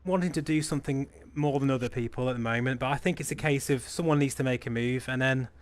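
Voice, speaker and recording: A man's voice: mid-range voice, fast. A man hosting a live group flight stream, chatty and self-deprecating, laughing often. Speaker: stream host. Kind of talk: casual live-stream chat.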